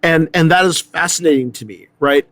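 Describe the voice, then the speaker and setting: A man hosting a thoughtful discussion, speaking haltingly and repeating words as he works through an idea. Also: on the high side, a bit bright, no room sound. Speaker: host moderating a panel discussion.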